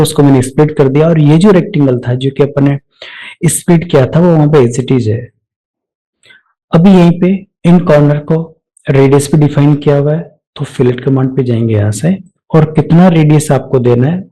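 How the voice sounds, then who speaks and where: A man giving a step-by-step software tutorial off the cuff, mixing English technical terms into his speech. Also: fairly high pitch, low-quality recording. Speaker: software instructor.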